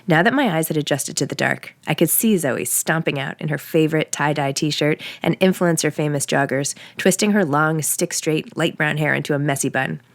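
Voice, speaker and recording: An American woman with a low voice speaks as an author sharing her own work. The recording is very dry, and her delivery is that of casual talk and personal narration. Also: moderate pace, creaky, hardly any pauses, no echo. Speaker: author and podcast host. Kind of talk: casual announcements and first-person novel reading.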